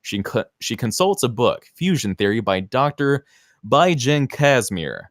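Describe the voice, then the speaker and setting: A man's voice: medium pitch, very animated, unhurried, dry recording, clean studio sound. A man narrating screenplay stage directions at a table read in a dramatic, punchy way.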